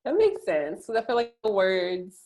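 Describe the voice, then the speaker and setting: A woman's voice: mid-range voice, sing-song, moderate pace, darkish tone. A woman co-hosting a podcast, easygoing in casual chat, laughing along and asking the guest questions. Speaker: podcast co-host.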